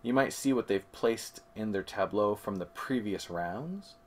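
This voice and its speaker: Deep-voiced man explaining casually. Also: measured pace. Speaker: board game review co-host. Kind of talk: casual comparison chat.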